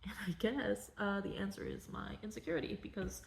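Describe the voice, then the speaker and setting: A young woman from Venezuela, a cartoonist, talking in a soft-spoken, rambling, candid way in a casual personal vlog. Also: medium pitch, fairly even intonation, a little fast, creaky. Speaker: vlogger, cartoonist. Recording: echoey room.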